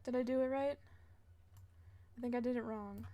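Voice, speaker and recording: High-voiced young woman streaming a game. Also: fairly even intonation, normal speed, warm tone. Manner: mutters to self while playing. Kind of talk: casual livestream gameplay chat. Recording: faint noise, keyboard and mouse clicks.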